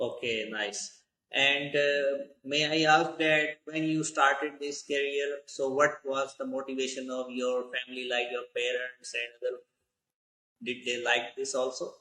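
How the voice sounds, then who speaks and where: A man hosting an online talk asks his questions in a formal, carefully polite way. His voice has a bright, sharp tone. The sound is roomy, with a noticeable echo. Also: medium pitch, flat delivery, a little slow. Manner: formal, with non-native phrasing. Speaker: host. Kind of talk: interview hosting. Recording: echoey room.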